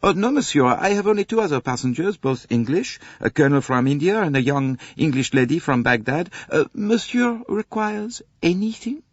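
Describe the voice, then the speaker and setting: A man's voice: a little high, big pitch swings, normal speed, hardly any pauses, no room sound, highs cut off, voice pushed up. A man voicing an eager, obsequious French sleeping-car conductor in an audiobook, with a French accent and a fussy, theatrical manner. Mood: brisk, deferential.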